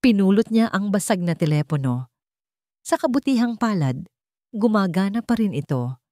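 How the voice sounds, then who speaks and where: A woman narrating a scripted story in a melodramatic way, with deliberate short phrases. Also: medium pitch, very animated, warm tone, no room sound, clean studio sound.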